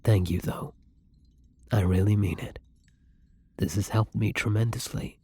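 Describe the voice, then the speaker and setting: A man playing a kind, gentle young vampire in an intimate ASMR roleplay, speaking softly and hesitantly in a breathy, often whispered voice. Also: on the low side, fairly even intonation, unhurried, a little hiss or hum. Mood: tender, reassuring. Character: kind, gentle young male vampire, newly turned and hungry.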